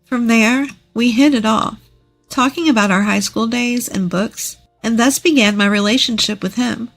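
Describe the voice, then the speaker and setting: A woman narrates a first-person story. The reading is scripted, with soft music underneath. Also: on the high side, moderate pace, creaky.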